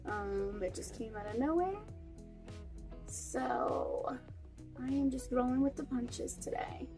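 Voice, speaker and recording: A woman's voice: high voice, animated, takes it slowly, over music. A young woman with a cold and a stuffy nose, chatting through a makeup tutorial step by step. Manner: chatty, friendly step-by-step explaining. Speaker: beauty vlogger.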